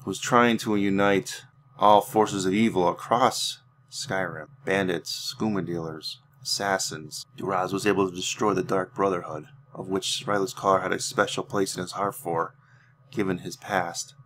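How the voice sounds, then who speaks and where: A man narrating fantasy lore as a game roleplay storyteller, solemn and deliberate, with dramatic pauses. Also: deep voice, fairly even intonation, a bit bright, a little hiss or hum. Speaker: host narrating a video game roleplay series.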